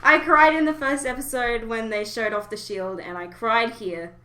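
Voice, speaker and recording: A woman hosting a casual fan discussion, talking emotively with hesitant pauses as she thinks aloud. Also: a little high, bright, forceful tone. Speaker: podcast host.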